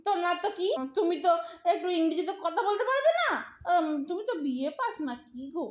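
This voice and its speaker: A woman playing an exasperated, sharp-tongued young married daughter in an audio drama, bossy and impatient, with a Bengali accent. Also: extremely high pitch, sing-song, a little fast. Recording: roomy, echoing audio drama recording.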